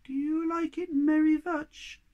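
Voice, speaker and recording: A reader voicing a tiny eager creature with a high, chirpy voice that garbles its words. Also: level tone, a little slow, a little mellow, lo-fi sound.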